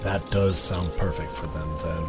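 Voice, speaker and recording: A man playing a grave, measured elf druid father in a fantasy audio drama. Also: sits low, fairly even intonation, over music, close to an everyday voice. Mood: grave, thoughtful.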